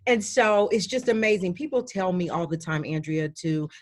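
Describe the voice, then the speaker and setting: A woman hosting an interview in an effusive, chatty way with many pauses and fillers. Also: on the low side, expressive. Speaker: host. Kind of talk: warm upbeat interview chat.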